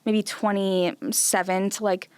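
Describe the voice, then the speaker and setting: Chatty woman in her twenties, smooth voice. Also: mid-range voice, takes it slowly, dry recording. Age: 20s. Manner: chatty, heavy use of "like", animated. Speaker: podcast guest, visual artist. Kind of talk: casual interview chat.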